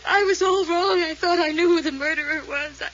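A frightened young woman in an old-time radio mystery. Her voice is high and piercing, with halting, emotional delivery. The recording is dull and faintly noisy. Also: fairly bright tone. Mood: distraught, insistent. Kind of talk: 1940s radio acting.

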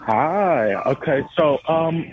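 A man's voice: on the high side, animated, slow. A man giving a spontaneous, informal interview over the telephone as a guest, feeling his way through his answers. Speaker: theater education director, interview guest. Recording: dull, noisy phone line.

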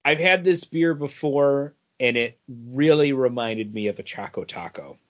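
A man's voice: mid-range voice, animated, unhurried. A man co-hosting a podcast in informal, casual conversation. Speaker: podcast co-host. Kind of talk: casual chat. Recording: slightly dull, lo-fi podcast audio.

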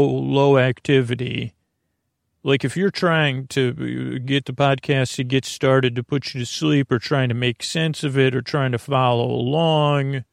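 A man with a creaky voice hosting a sleep podcast, rambling to the listener in a meandering, tangled, self-deprecating way. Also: medium pitch, dry recording, studio quality. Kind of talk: rambling bedtime monologue to the listener.

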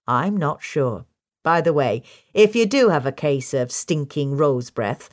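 A woman reading a gardening article aloud as scripted narration. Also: low voice. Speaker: article reader.

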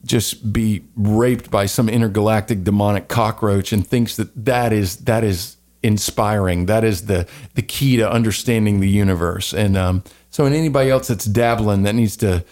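A man's voice: fairly low pitch, normal speed, few pauses, a little mellow. A man with a light Southern American accent, co-hosting a casual podcast, rambling and earnest, moving between joking chat and spoken prayer. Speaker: podcast co-host.

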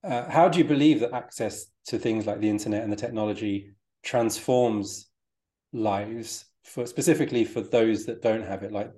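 Mellow-voiced man hosting an interview. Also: on the low side. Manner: hesitant, fills pauses with ums, restarts sentences. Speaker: podcast host. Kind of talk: interview questions.

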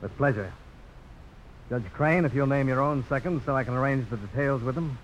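An actor playing a confident, daring frontier adventurer, firm and terse, in a 1950s radio drama. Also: male voice, medium pitch, fairly quick, lightly acted. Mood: composed. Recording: noisy old radio broadcast.

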